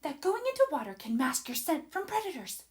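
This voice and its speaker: A woman's voice: high-pitched, huge pitch swings, brisk, darkish tone, voice pushed up. A woman voicing a keen, adventurous young girl with an exclamatory, urgent manner in a children's storybook. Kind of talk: children's storybook read-aloud.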